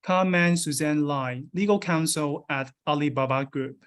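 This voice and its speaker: A man's voice: on the high side, a little slow, clean tone. Man emceeing a formal awards ceremony. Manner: polished, announcing names and titles. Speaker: event emcee.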